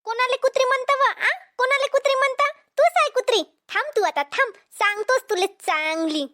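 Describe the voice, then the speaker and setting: A woman voicing a quarrelsome cartoon village mother-in-law with a Vidarbha Marathi accent, scolding and threatening in a pitched-up voice. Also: a little fast, bright, forceful tone. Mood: furious, threatening. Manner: scolding, repetitive challenges. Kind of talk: cartoon comedy dialogue.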